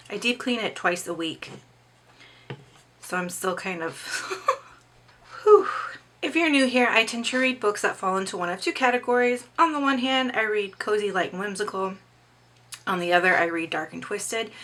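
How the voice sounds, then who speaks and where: Chatty middle-aged woman, bright and a bit hoarse. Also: medium pitch. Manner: chatty, reads book blurbs aloud. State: slightly hoarse. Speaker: book vlogger. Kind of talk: casual book chat and blurb reading.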